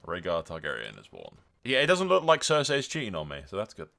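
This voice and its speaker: Low-voiced man narrating a roleplay game. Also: huge pitch swings, brisk, gravelly, a bit bright. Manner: informal, thinking aloud, slipping into storytelling. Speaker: gaming video host. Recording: music behind the voice.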